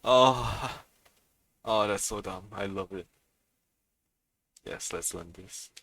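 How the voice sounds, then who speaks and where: A man's voice: on the low side, takes it slowly, a bit bright, no room sound. A man live-streaming a video game with casual, unscripted commentary, muttering to himself and swearing freely.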